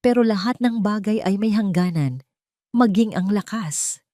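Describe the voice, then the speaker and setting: A woman voicing a sweetly condescending rich young woman in an audio story, gentle and sugary, mixing English into Tagalog. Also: medium pitch, unhurried, no echo, clean studio sound, pitched above the performer's own voice, close to an everyday voice. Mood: veiled threat.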